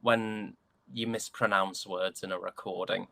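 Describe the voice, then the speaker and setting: A British man chatting casually as an interview guest, telling anecdotes in a wry, joking, halting way. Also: low-pitched, steady tone, vocal fry, crowd noise. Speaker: interview guest, stage and voice actor.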